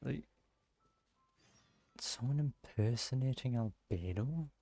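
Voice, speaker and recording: A man with a gravelly, husky voice doing casual gameplay commentary, musing aloud in halting bursts. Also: medium pitch, animated, dark tone, no room sound. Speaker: game streamer.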